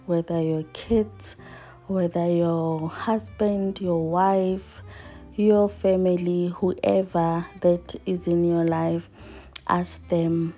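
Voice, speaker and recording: Woman, 30s, from South Africa, vlogger, earnest chat, lowish voice, home kitchen, faint music behind. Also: creaky, mellow. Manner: earnest, reflective, speaks while washing dishes. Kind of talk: casual chat to viewers while doing chores.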